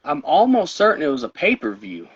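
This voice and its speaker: A man's voice: on the high side, wildly swooping pitch, a little fast, breathy edge. Tired guy rambling on a podcast, voice almost gone, muffled lo-fi audio. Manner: rambling, tired, laughs often. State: tired, voice wearing out. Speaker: podcast co-host. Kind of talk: casual chat about wrestling. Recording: dull lo-fi sound.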